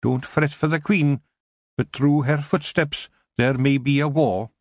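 A tiny, fierce Scottish fairy matriarch talks high and loud, as a man voices her. She is blunt and gruff, rolling out broad dialect. The sound is dry and close. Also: fairly even intonation, measured pace, no room sound, close to an everyday voice.

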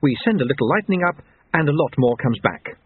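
Man as Jeremy, manic high-pitched pedantic clockmaker. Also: normal speed, a little hiss or hum. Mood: gleeful. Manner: precise, excitable, over-rational. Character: Jeremy, fussy, manic, unhinged male clockmaker. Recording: thin phone-like band.

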